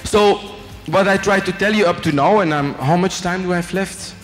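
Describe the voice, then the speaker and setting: A middle-aged Belgian man with a French accent gives a casual, joking talk to a student audience through a handheld mic. His voice sits a little high for a man. The sound rings with the long reverb of a large hall, and faint music plays underneath. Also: moderate pace. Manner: chatty, joking, asks the audience questions, adds "eh?" tags. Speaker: company executive giving a guest lecture. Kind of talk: informal business talk to students. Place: large hotel function room with a student audience. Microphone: handheld microphone.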